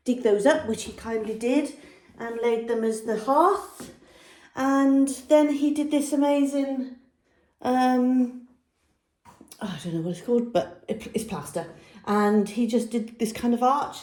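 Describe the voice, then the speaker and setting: A woman's voice: fairly high pitch, a little mellow, reverberant. A woman with a cold and a nasal, blocked-up voice, chatting and rambling with lots of ums as she gives a home how-to vlog. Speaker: vlogger. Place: old house, by a fireplace indoors.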